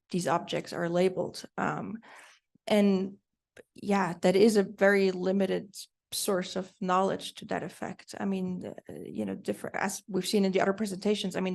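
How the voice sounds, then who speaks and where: A woman's voice: medium pitch, gravelly. A woman whose first language is Swiss German, answering audience questions at a conference in a thoughtful way with many fillers. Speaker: conference presenter.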